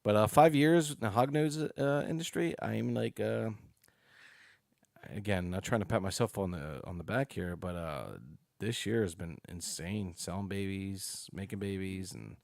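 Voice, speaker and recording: A man's voice: on the low side, normal speed, creaky, a little mellow, no room sound, clean studio sound. A man in his late forties, a podcast guest chatting casually and animatedly, full of "you know" and "dude". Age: 40s. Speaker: podcast guest, snake breeder.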